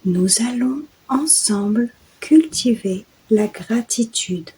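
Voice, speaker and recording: A woman leading a guided sleep meditation in a soft, soothing, hushed voice that often drops toward a whisper. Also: on the high side, animated, takes it slowly, breathy edge, poor audio. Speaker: guided meditation host and yoga nidra guide.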